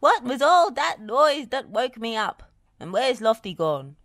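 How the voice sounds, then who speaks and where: A woman's voice: on the high side, very animated, unhurried, fairly bright tone, dry recording, clean studio sound, voice pushed up. A woman voicing a kindly, fretful man builder who is under the weather, in a children's storybook. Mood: worried. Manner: fretting, sighing.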